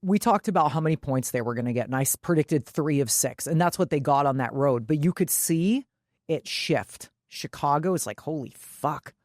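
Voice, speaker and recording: A woman's voice: low voice, animated, moderate pace, darkish tone, no room sound, clean studio sound. A woman co-hosting a sports podcast, chatting casually in a blunt, emphatic way with frequent swearing.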